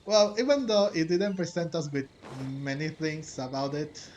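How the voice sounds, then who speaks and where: A man giving casual game commentary as he plays, rambling and halting as he talks. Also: on the high side, animated, a little mellow, slight background noise. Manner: rambling, halting, frequent chuckles. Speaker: gaming streamer playing a story quest.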